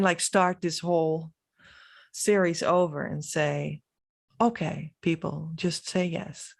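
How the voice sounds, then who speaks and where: Low, slow-talking Dutch woman with creaky voice. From Netherlands. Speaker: spiritual vlogger. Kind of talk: reflective, unscripted monologue to camera.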